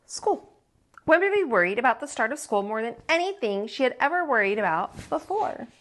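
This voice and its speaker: A woman reading a children's story aloud as a storytime host and teacher, with expressive, deliberate delivery. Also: fairly high pitch.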